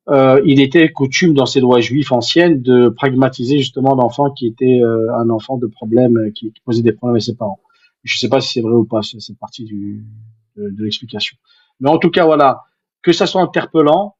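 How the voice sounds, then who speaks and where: A man's voice: mid-range voice, darkish tone, breathy edge, lo-fi sound. A man in a live online debate, speaking hesitantly and reflectively, often repeating words as he explains his beliefs. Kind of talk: casual live discussion. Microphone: live stream call.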